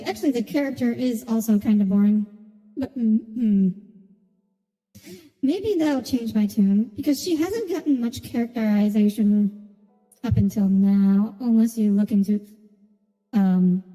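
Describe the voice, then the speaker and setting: A woman with a very soft, dark tone and a slightly high pitch comments casually on a game. She is blunt and opinionated, and her voice carries a long reverb over music. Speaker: game streamer.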